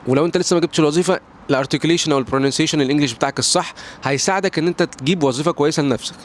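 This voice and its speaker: A man's voice: a little high, slight background noise. A young Egyptian man with an Egyptian accent, giving casual advice in a vlog, rambling with many restarts and slipping in English words. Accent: Egyptian Arabic. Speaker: English pronunciation tiktoker. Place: at home near a balcony door.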